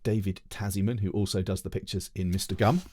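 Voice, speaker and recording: A man reading a children's storybook aloud as a teacher, in an expressive narrating style. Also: lowish voice, fast talker, slight background noise.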